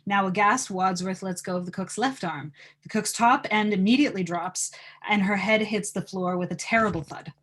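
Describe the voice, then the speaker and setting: A woman's voice: mid-range voice, normal speed, fairly bright tone. A woman reading stage directions as narrator in a live table read of a script.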